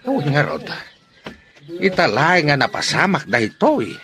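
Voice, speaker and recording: An actor playing a forceful man leading companions through a forest, in an Ilocano-accented radio drama. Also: on the high side, wildly swooping pitch, unhurried, crowd noise, exaggerated voice. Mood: urgent.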